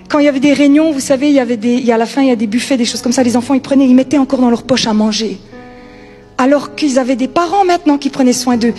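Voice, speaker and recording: A woman with a high-pitched voice preaches in an informal, conversational style. She is emphatic and repeats her key phrases, with music playing softly behind her. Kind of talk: informal sermon.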